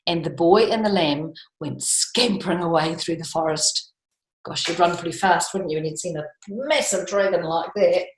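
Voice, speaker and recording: New woman reading storytime, echoey room. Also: fairly low pitch. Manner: emphatic, drawn-out key words, questions to young listeners. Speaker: children's storytime reader. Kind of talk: expressive picture-book reading with chatty asides.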